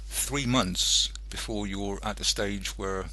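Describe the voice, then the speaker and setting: A male radio host with a low, creaky voice and a bright edge. He rambles casually and haltingly, often thinking aloud as he goes. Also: poor audio.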